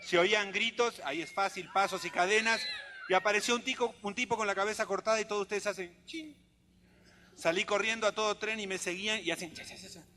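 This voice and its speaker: A man's voice: high-pitched, fairly even intonation, fairly quick, pushed and bright, a little hiss or hum. A man doing interactive musical storytelling for children in a theatrical, coaxing, exclamatory way. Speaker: children's entertainer, singer-storyteller. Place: live outdoor show for children. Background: acoustic guitar.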